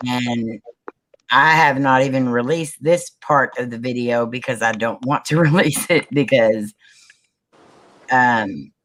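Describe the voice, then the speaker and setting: A woman with a low voice, a paranormal investigator, telling a story on a group video call with many ums and restarts. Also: takes it slowly, fairly bright tone. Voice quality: low, manly-sounding voice. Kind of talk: casual group video chat.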